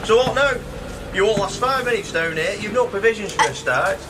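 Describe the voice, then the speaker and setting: An officious colliery man in a radio drama, pedantic and exasperated, with a Northern English accent. His voice sits a little high for a man, over clearly noisy ambience. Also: animated, brisk. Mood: indignant. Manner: blustering, pedantic. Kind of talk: naturalistic radio drama acting.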